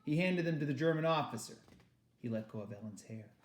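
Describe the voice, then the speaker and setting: Guy reading a kids novel out loud, expressive, slightly high voice, echoey room. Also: a little fast. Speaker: read-aloud reader. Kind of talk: expressive children's novel narration.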